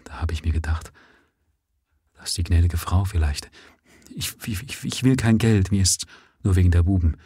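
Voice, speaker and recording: A man's voice: deep voice, steady tone, a little fast, a little mellow, slightly rough, dry recording, clean studio sound. A man voicing a gaunt, pale widowed father just out of prison, pleading in a trembling, urgent voice.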